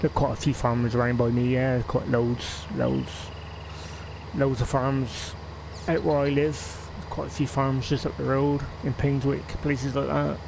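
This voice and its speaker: Slow, gravelly West Country man. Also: medium pitch, background noise. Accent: West Country English. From England. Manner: rambling, chatty, laughs often. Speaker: game streamer. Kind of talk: casual live-stream chat.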